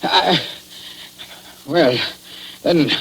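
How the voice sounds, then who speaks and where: A man's voice: on the high side, extremely slow, gravelly, a bit bright, slightly rough, full character voice. An actor playing a panicked, indignant arrested man who stammers out his protests in a 1940s radio drama. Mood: desperate, protesting. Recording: noisy old 1940s radio recording.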